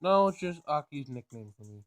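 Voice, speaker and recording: A man doing a casual game playthrough, reading dialogue aloud and thinking out loud in informal, slangy language. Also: fairly high pitch, big pitch swings, fairly quick, no room sound, soft background music. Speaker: let's play gamer.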